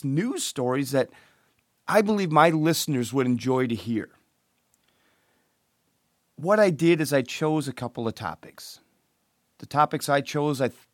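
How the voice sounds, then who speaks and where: Hoarse man hosting a podcast rant. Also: fairly high pitch, no room sound, studio quality. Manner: ranting, chatty, with fillers and restarts. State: hoarse, losing his voice from a cold. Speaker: podcast host. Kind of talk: casual podcast monologue.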